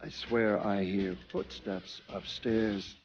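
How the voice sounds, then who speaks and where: An actor playing a weary, haunted American man who gives brooding, deliberate testimony in a video game cutscene. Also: lowish voice, darkish tone, lo-fi sound, slight background noise. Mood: haunted, bitter.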